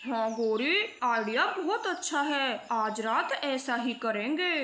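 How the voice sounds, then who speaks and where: A woman's voice: a little high, lively intonation, takes it slowly, bright, forceful tone, echoey room, a little hiss or hum, exaggerated voice. A woman voicing a scheming, bossy male crow shopkeeper with a pushy, grumbling manner in a children's cartoon.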